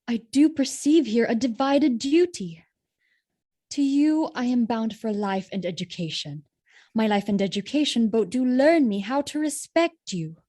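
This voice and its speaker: A resolute young noblewoman acted by a woman in a Shakespearean audio drama. Her voice sits a little high, and she speaks with formal, earnest devotion. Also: animated, measured pace, warm tone. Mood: respectful, resolute. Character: Desdemona, dutiful, resolute young noblewoman in love.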